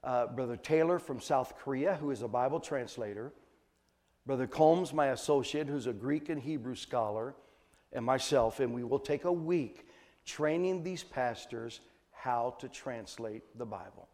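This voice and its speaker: A man preaching a sermon as a missionary teacher, emphatic, repeating phrases for weight and swinging between hushed line endings and loud stresses. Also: medium pitch, measured pace, in a large hall. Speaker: missionary preacher and Bible translation trainer.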